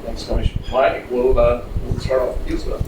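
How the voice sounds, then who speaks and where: Flat-pitched man moderating in an echoey room. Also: normal speed. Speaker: panel moderator, consulting executive. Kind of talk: informal panel moderating. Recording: echoey noisy room, distant mic.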